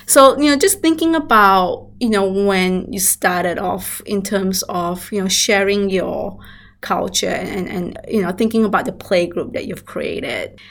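A woman host with a mid-range voice leads a relaxed interview. She agrees readily and asks her questions in an encouraging way. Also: mid-range voice, measured pace. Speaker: podcast host. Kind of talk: conversational interview.

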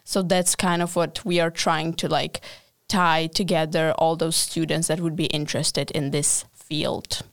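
A woman's voice: low voice, little pitch movement, measured pace, dry recording, clean studio sound. A young woman, answering casually as a student guest in an interview. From Czech Republic, Prague. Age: young adult. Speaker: podcast guest, student board member.

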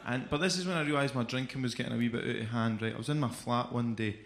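A man's voice: medium pitch, steady tone, fast, creaky, a bit bright, echoey room. A man with an Edinburgh Scottish accent doing a chatty stand-up set, often tagging lines with "right?". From Scotland. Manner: chatty, keeps adding "right?". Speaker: stand-up comedian. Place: comedy club stage.

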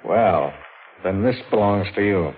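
An actor playing a matter-of-fact, folksy American state police officer with easygoing authority in a 1940s radio drama. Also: male voice, fairly low pitch, expressive, unhurried. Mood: official. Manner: plain-spoken, easygoing authority. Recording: tinny, noisy old radio recording.

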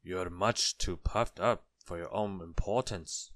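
Man as a deep, stern goblin king. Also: a bit bright, dry recording. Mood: stern, measured. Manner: measured, regal, admonishing. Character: stern, unhurried goblin king on his throne. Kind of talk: children's storybook character voice.

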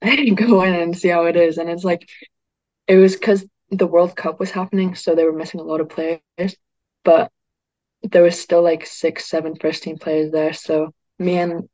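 A teenage girl from Northern England chatting eagerly about her football life, her speech loaded with "like". Her pitch stays level and even. The sound is dry and a little muffled, as over a video call. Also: moderate pace, no room sound. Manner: chatty, peppered with "like", excited. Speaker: youth football player. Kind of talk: casual interview chat.

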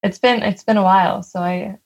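Husky lady cohost, mid pitch, chatting casually, easygoing and giggly. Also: fairly even intonation, a little fast, a little mellow. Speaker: podcast co-host. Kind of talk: casual chat.

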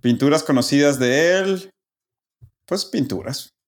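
A man's voice: a little high, unhurried. A man with a Mexican accent who co-hosts a podcast, chatting casually in a joking, teasing way. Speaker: podcast co-host. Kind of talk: casual chat.

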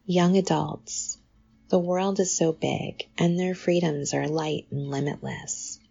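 A middle-aged woman hosting a podcast, reading a scripted introduction in an earnest, measured way. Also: a bass voice, gravelly, darkish tone, breathy edge, highs cut off. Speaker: podcast host.

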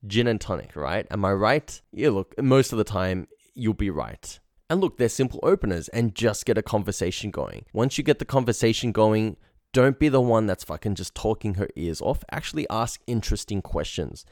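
Low-voiced man coaching informally. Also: lively intonation, normal speed, a little mellow, no echo, studio quality. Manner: blunt, slangy, acts out example lines. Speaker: dating confidence coach, show host. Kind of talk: informal instructional monologue.

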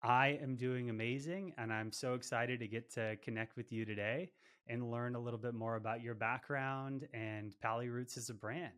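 A man hosting a casual podcast interview in an average-pitched voice. He sounds upbeat and eager, often restarting his questions midway. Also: steady tone, normal speed, fairly bright tone. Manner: upbeat, enthusiastic, stumbling restarts. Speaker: podcast host.

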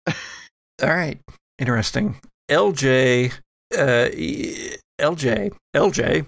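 A man acting as game master, narrating a tabletop roleplaying game in a halting, deliberate, improvised way. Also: on the high side, expressive, gravelly, a bit bright, no echo, clean studio sound.

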